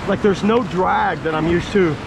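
Excited male paddler, high-pitched, narrating on a helmet cam over roaring water. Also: creaky. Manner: excited, exclaiming, loose talk. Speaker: vlogger trying a slalom kayak. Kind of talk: casual commentary while paddling. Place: outdoors on a whitewater river. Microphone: helmet action camera. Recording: loud rushing water noise.

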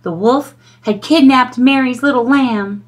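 A middle-aged woman reading a children's storybook aloud in an expressive storytelling style. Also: high voice, unhurried.

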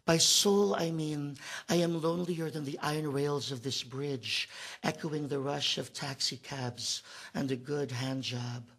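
A man in his thirties on a theater stage, performing spoken word at a stand mic, moving from a frantic comic rant to a hushed lyrical lament. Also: fairly high pitch, fairly even intonation, a little slow, husky. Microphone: stand mic, far camera.

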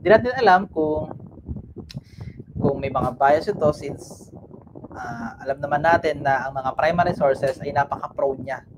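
A man's voice: a little high, unhurried, pauses often. Young Filipino man presenting on a noisy call. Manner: casual, thinking aloud with frequent fillers and tag questions. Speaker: student presenter. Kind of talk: class report on a video call. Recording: video call audio with background noise.

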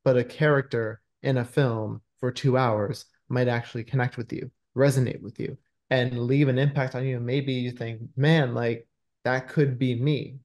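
A man in his thirties speaks with a noticeably gravelly, creaky edge at an average male pitch. He explains things earnestly and conversationally, as an interviewed writer on a video call. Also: fairly even intonation, moderate pace, a little mellow. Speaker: screenwriter being interviewed. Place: indoor room at home, video call. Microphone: video call microphone.

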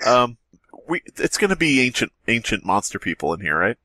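Male podcast co-host, sharp-toned, casual joker, very little room sound. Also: mid-range voice, big pitch swings, brisk, no room sound, poor audio. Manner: joking, riffing. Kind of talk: casual comic banter and reading.

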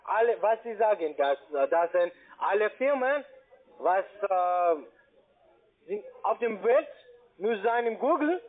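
High-voiced man on a tinny phone, foreign accent. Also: expressive. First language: not German. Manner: halting, repetitive. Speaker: call centre agent. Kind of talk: phone sales conversation. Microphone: telephone. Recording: tinny narrowband phone line.

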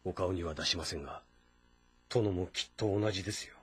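A man's voice: low-pitched, brisk, breathy edge. A man playing a thoughtful, weary military strategist who speaks politely and reflectively in a historical drama. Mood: pensive.

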